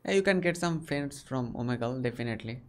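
A man's voice: medium pitch, animated, quick pace. Young Indian man, casual webcam chatter who keeps asking questions. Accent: Indian English. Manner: inquisitive, asks many short questions. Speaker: video chat host. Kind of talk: casual chat with strangers. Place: small bedroom.

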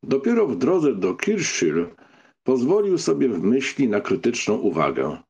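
A man narrating a literary audiobook in an expressive, scripted storytelling style. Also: mid-range voice, unhurried, husky.

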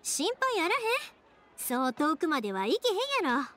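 A woman's voice: high voice, big pitch swings, brisk, pushed and bright, full character voice. A woman voicing a cheerful young fireworks maker in a game, talking in a breezy, friendly Kansai dialect. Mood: upbeat. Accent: Kansai Japanese.

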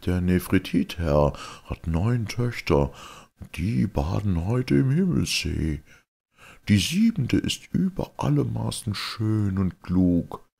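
A man's voice: on the low side, big pitch swings, takes it slowly, creaky, a little mellow, husky, pitched above the performer's own voice, heavily acted. A man voicing a wise magical talking cow in a fairy tale, solemnly instructing and persuading. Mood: solemn, informing.

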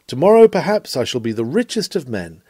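A man reading a written sermon in an oratorical style, with dramatic emphasis and measured phrasing. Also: mid-range voice, very animated, mellow, no echo. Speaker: sermon reader.

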